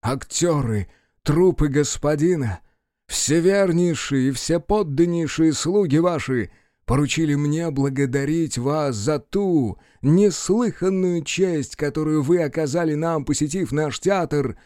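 A man voicing a theatrical actor-playwright, flattering and hot-tempered, who declaims grandly in a stage play reading. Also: a little high, a little slow, no room sound, studio quality, pitched above the performer's own voice, lightly acted. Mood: ceremonious. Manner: grandly declaiming, slight stammer at first.

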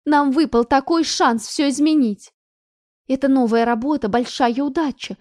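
A woman voicing an anxious middle-aged mother who scolds her daughter in a shrill, rising voice. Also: moderate pace, dark tone, no room sound, studio quality, lightly acted. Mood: pleading.

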